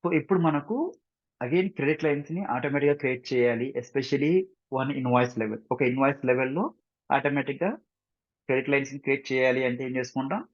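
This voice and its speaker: A man with an Indian Telugu accent gives a step-by-step software tutorial as a trainer, mixing two languages and often checking that learners follow with short questions. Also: medium pitch, steady tone, fast. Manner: instructional, repeatedly checking understanding with short questions. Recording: dull, roomy lo-fi screen recording.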